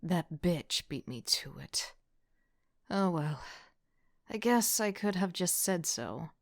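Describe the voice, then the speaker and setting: A woman's voice: on the low side, expressive, slow, full character voice. A woman playing a wry, casual young student team leader who narrates an audio drama in the first person with sardonic asides. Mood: wry, relaxed. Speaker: voice actor.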